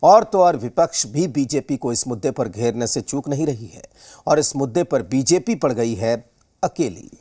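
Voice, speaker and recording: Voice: medium pitch, animated, moderate pace, a little mellow, breathy edge. A news anchor presenting scripted political news.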